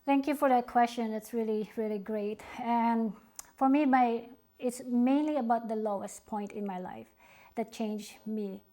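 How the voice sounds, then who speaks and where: A middle-aged woman from the Philippines, a guest on an interview, speaking earnestly and haltingly. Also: fairly high pitch, fairly even intonation. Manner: slow, halting, earnest, soft-spoken. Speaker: author and guest. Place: studio.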